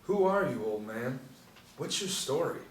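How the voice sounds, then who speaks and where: Know-it-all soldier, twenties, probing male actor. Medium pitch, table-read drama, roomy sound. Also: big pitch swings, unhurried. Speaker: actor at a script table reading. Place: indoor room, seated reading panel. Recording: roomy, distant mic, faint noise.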